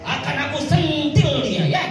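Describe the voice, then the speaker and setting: A woman's voice: sits high, big pitch swings, a little slow, far from the mic, voice pushed up. A woman voicing a protective uncle who gives scolding warnings, in live storytelling for children. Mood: indignant, protective. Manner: challenging, warning. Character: protective, scolding uncle, an adult man defending his nephew. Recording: echoey PA, music and crowd.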